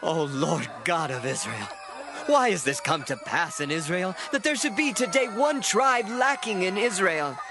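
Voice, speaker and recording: High, swooping ancient Israelite man. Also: lively intonation, a little slow, music in the background. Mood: anguished pleading. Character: solemn, beseeching Israelite man of ancient times. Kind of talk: dramatized scripture acting.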